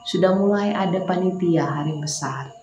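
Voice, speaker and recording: A woman pastor with a Makassar accent, praying and preaching a morning devotional, slow and prayerful, rising to emphatic preaching. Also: lowish voice, darkish tone, reverberant, slight background noise.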